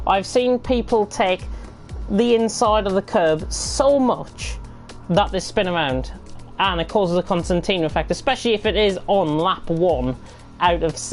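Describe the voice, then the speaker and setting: A woman commentating live on an online racing league, croaky with a flu and rambling with frequent self-corrections. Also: mid-range voice. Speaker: race commentator.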